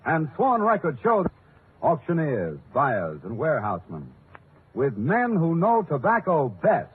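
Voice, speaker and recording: A man announcing a 1940s radio commercial in an emphatic, declamatory, measured way. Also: on the high side, wildly swooping pitch, phone-quality sound. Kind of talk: 1940s radio advertising read. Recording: thin, distant old radio broadcast, faint hiss.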